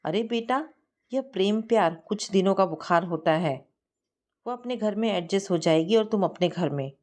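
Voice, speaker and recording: Female narrator as firm older father in a family story, dismissive, expressive intonation. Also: medium pitch, moderate pace, close to an everyday voice.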